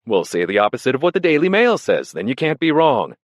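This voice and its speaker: A man's voice: medium pitch, sing-song, brisk, dry recording, studio quality, voice pushed up, natural voice. A man voicing an eager, scheming young Russian waiter who talks in an urgent, persuasive way. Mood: eager, coaxing.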